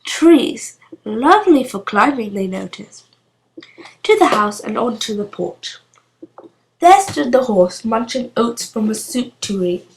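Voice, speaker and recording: A woman's voice: on the high side, expressive, a little slow, slight background noise, poor audio. A woman reading a children's storybook aloud as scripted narration. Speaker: storybook reader.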